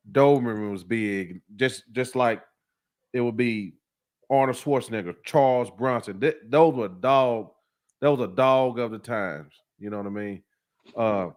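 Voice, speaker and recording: A man in his forties who hosts a casual interview, asking easygoing, thoughtful questions. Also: mid-range voice. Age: 40s.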